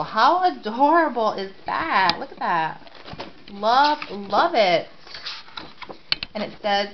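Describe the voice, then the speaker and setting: A congested, sniffling woman gushing over craft paper she bought, filming close-up on a handheld camera at home. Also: medium pitch. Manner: gushing, repeats "so cute" and "I love it". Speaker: crafting vlogger. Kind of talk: casual product haul show-and-tell. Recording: dull lo-fi home camera audio with clear hiss.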